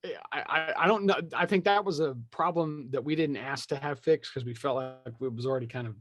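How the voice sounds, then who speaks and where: A man's voice: fairly high pitch, fairly quick, a bit bright, no room sound, clean studio sound. A man in a casual podcast chat, opinionated and rambling as he thinks aloud. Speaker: podcast speaker discussing film franchises.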